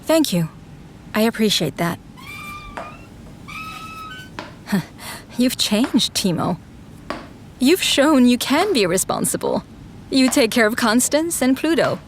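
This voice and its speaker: An actress playing a patient, frank woman engineer in an audio drama, calm and reassuring with dry humour. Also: fairly high pitch, unhurried, pauses often, a little hiss or hum. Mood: forgiving. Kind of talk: scripted audio drama acting.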